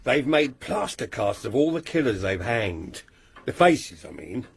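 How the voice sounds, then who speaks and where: A jovial, slow-thinking older husband in a radio drama. His husky voice rises and falls eagerly as he gossips, and he never quite catches on. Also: medium pitch, very animated, full character voice.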